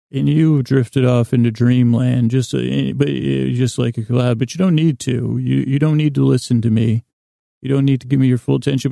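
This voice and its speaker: A man hosting a bedtime sleep podcast, rambling in a halting, soothing way with a gravelly, creaky voice. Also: medium pitch, dark tone, dry recording, clean studio sound. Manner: meandering, halting, soothing, self-interrupting. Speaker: podcast host.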